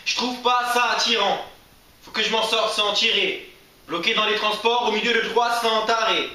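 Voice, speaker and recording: Male slam poet, high-pitched and rhythmic, declaiming live from a stage, picked up at a distance in a very echoey room. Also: steady tone, normal speed, sharp tone. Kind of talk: slam poetry performance. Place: stage in a media library.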